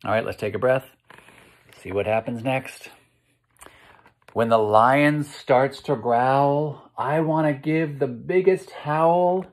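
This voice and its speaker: A middle-aged American man hosts a read-aloud for young children. He speaks slowly at a middle pitch, with expressive, encouraging delivery. He often pauses to put simple questions to his listeners. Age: 50s. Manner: slow, expressive, encouraging, pausing to ask questions. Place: indoor room at home.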